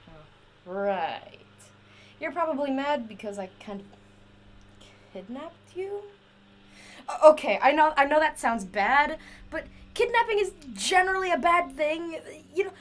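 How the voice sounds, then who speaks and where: A woman's voice: sits high, heavily acted. A woman playing a chatty, eccentric inventor in an audio drama, rambling eagerly and wheedling to justify herself. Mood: awkward cheer. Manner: rambling, wheedling, talks to a silent companion.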